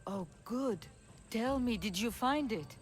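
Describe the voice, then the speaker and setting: An actress playing an eager, grateful temple keeper in a video game cutscene. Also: female voice, fairly high pitch, lively intonation, slow, faint music behind.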